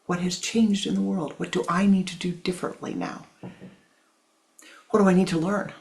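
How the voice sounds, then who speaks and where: A spiritual teacher giving a gentle, tender teaching, repeating phrases and calling listeners "my love", at times dropping to a near whisper. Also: mid-range voice, steady tone, moderate pace, vocal fry.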